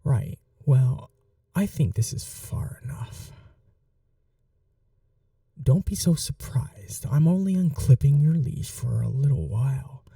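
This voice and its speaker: A performer voicing a possessive vampire noble in an ASMR roleplay, speaking softly and slowly with a teasing, condescending cruelty. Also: medium pitch, lively intonation, dry recording, clean studio sound, far from the mic, heavily acted. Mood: coaxing. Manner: slow, soft, breathy, condescending teasing.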